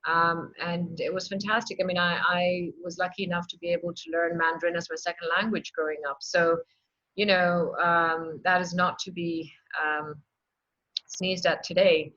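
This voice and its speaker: Bright-voiced woman from Singapore. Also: lowish voice, little pitch movement, moderate pace. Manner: many fillers and restarts, laughs easily, warm enthusiastic. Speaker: entrepreneur guest. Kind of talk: candid interview conversation. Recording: dull lo-fi sound.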